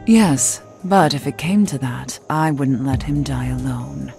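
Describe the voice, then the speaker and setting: A low, unhurried, somber game witch. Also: creaky, dark tone, soft background music, heavily acted. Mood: rueful. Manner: measured, earnest, questioning. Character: somber cursed witch, adult woman, gently wry. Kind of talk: video game cutscene acting.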